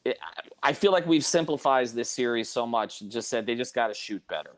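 A man's voice: mid-range voice, expressive, fairly quick. A man hosting a casual sports panel discussion, repeating and restarting phrases for emphasis. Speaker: host.

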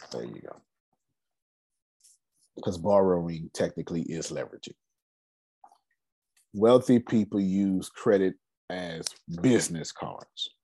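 A man in his forties giving a casual, energetic finance seminar talk, asking rhetorical questions and answering them himself. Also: low-pitched, husky. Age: 40s. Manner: loose, energetic, repeats key points. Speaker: finance speaker.

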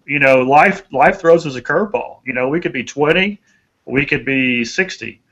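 A man's voice: medium pitch, moderate pace, a bit bright, lo-fi sound. A man giving conversational motivational advice as a podcast co-host, in a folksy, earnest way, repeating phrases for emphasis.

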